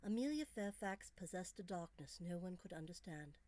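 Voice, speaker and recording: A woman author reading her own gothic romance story as scripted narration, measured and expressive. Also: lowish voice, no room sound.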